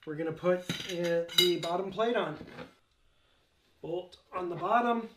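Casual middle-aged man, a bit high-voiced, talking through a garage build; roomy echo, faint noise, tools clinking behind. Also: measured pace. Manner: chatty, offhand, with small jokes and asides. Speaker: DIY home gym channel host. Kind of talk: casual how-to vlog. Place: cluttered garage home gym, indoors. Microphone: camera-mounted mic, a few meters off.

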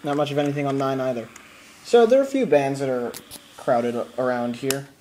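A man's voice: mid-range voice, brisk, warm tone. A man chatting casually and bluntly as he demonstrates something on a home video. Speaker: amateur video maker showing a radio. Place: indoors at a wooden table. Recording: noisy home recording with radio static.

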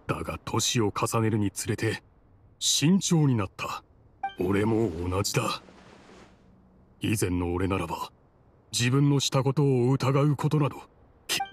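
Slow-talking, weary old man. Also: lowish voice, a little hiss or hum. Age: older. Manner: slow, reflective, resigned. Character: weary, remorseful old man, fallen former official. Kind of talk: Japanese game voice acting.

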